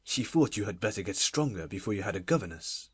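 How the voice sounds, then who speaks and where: A woman voicing a miserable, absent-minded middle-aged gentleman in an audiobook, husky, fretful and muttering. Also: rumbling low, animated, fast, dry recording, clean studio sound, pitched below the performer's own voice, heavily acted. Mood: worried, muttering.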